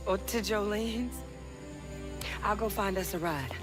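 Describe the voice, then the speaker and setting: A woman's voice: mid-range voice, unhurried, lightly acted. An actress playing a loving, protective, worried middle-aged mother in a video game cutscene. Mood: relieved, tender. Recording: music under the voice.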